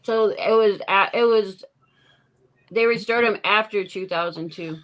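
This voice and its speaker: Woman hosting a live morning stream, mid pitch, playful, always has a quick comeback. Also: rough recording. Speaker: host.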